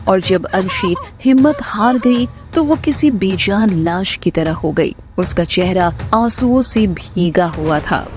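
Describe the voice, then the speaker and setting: Slow-paced woman narrating a romance drama. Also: medium pitch, expressive, music in the background. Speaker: story narrator.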